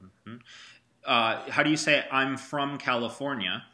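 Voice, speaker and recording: A man's voice: mid-range voice, a little slow, sharp tone. A man teaching a student Arabic in a one-on-one lesson, patiently prompting him and repeating his praise.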